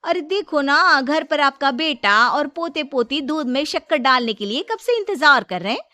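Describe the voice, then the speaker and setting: A woman's voice: high voice, expressive, moderate pace, bright, forceful tone, no room sound, voice pushed up. A woman voicing a brash, stingy, scheming daughter-in-law who wheedles in a Hindi moral story. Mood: wheedling.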